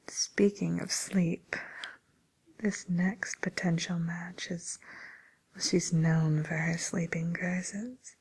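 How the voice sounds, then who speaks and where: A woman playing a genteel, businesslike matchmaker for villains in a whispered ASMR role play, murmuring politely and hesitantly in a breathy voice. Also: on the low side, a little mellow, full character voice, poor audio. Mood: musing, helpful.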